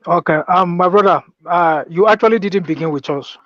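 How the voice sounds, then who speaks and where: A man's voice: high voice, brisk, fairly bright tone. A man with a Nigerian accent speaking as a guest in a political discussion, insistently explaining his experience. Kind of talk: political discussion, personal account. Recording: dull, dry lo-fi call audio.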